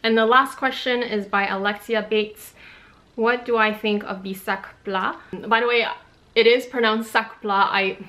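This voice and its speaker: A woman's voice: on the high side, fairly even intonation, measured pace, sharp tone. A woman in her thirties, a native Cantonese speaker, chatting casually and explaining things to viewers as a vlog host in a room at home. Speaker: fashion vlog host answering viewer questions. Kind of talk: casual chat to camera. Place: small room at home, indoors.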